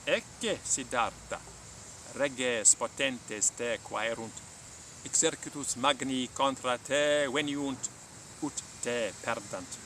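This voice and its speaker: Man reading as a taunting, bright-voiced demon lord. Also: medium pitch, takes it slowly, a little hiss or hum. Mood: threatening. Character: menacing male demon lord, taunting tempter.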